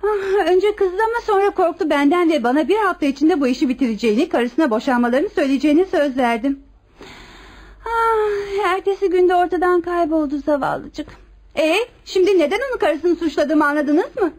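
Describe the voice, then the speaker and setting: An actress playing a brazen, defensive mistress under questioning in a radio play, dramatic and plaintive. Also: female voice, very high voice, expressive, a little fast, a little mellow. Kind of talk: radio theatre acting.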